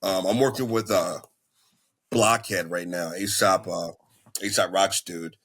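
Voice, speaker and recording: Laid-back husky man, low voice. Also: fairly even intonation, fairly bright tone. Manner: laid-back, slow, many fillers. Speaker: rapper. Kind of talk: casual interview chat.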